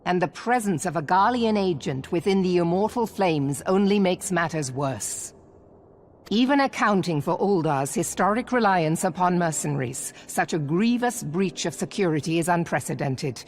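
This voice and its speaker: A woman's voice: on the low side, expressive, normal speed, slight background noise. An actress playing a stern, composed female admiral in a fantasy game, blunt and authoritative. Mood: stern, concerned. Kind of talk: formal fantasy game voice acting.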